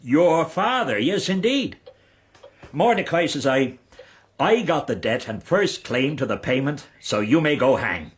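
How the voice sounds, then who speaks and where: An actor playing a roguish, fawning Irish knight and debt-dodging fixer in a radio period drama, speaking with an Irish accent and theatrical flourishes. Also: male voice, fairly high pitch, sing-song, unhurried. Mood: boastful, sly. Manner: theatrical, ingratiating, rhetorical flourishes.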